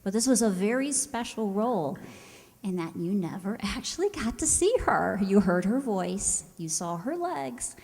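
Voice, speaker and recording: A woman hosting a stage show, giving a prepared introduction in a measured, conversational way. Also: mid-range voice, lively intonation, darkish tone. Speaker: host introducing a radio players' stage show. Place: live performance hall before an audience. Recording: reverberant hall, live stage sound.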